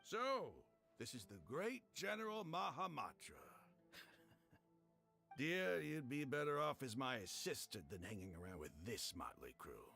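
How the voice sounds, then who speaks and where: An actor playing a smug, scheming male cult leader in a game, gloating and condescending with a gravelly voice. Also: mid-range voice, very animated, measured pace, pushed and bright, faint music behind, full character voice. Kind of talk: game voice acting.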